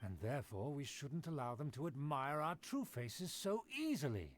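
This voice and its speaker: A man's voice: medium pitch, sing-song, normal speed, a little hiss or hum, lightly acted. An actor playing a haughty, refined nobleman in a video game, with formal, stately and affected diction. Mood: proud, assured. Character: pompous, refined male aristocrat, haughty yet eager. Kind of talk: video game character acting.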